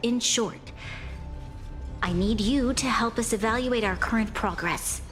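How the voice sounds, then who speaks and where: A woman's voice: on the high side, measured pace, fairly bright tone. An actress playing a composed, earnest young female city leader in a video game, speaking formally and diplomatically. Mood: earnest, diplomatic. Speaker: game character, guardian leader. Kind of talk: scripted video game voice acting. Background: game music.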